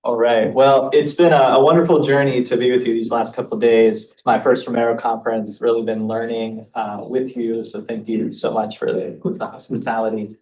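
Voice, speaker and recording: A man's voice: medium pitch, little pitch movement, moderate pace, few pauses, darkish tone. A man from the United States presenting an academic paper at a conference, partly reading and partly speaking casually. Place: conference room, recorded from a distance. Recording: echoey room, distant mic, faint noise.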